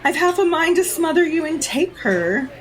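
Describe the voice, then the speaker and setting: A woman's voice: very high voice, big pitch swings, normal speed. An actress playing a jaded, predatory society woman in an audio drama, haughty and teasing with a theatrical drawl. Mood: teasing, menacing.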